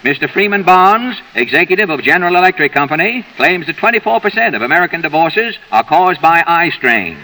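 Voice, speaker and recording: Guy announcer, crisp newsreel style, high-ish and lively. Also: brisk, few pauses, poor audio. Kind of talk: scripted narration.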